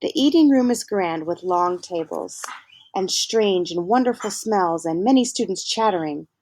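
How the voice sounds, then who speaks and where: A woman reading a children's novel aloud in a gentle storytelling manner, pausing to ask her listeners questions. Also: lowish voice, lively intonation. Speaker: read-aloud narrator.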